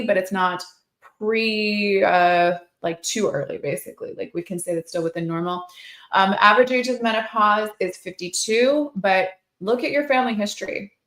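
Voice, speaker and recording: An American woman in her forties hosts a casual livestream Q&A as a nurse practitioner. Her medium-pitched voice is expressive and chatty, speaking directly to her audience. The sound is noticeably roomy and echoey. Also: moderate pace. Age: 40s. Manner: chatty, informal, expressive, addressing viewers directly. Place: indoor clinic room under construction.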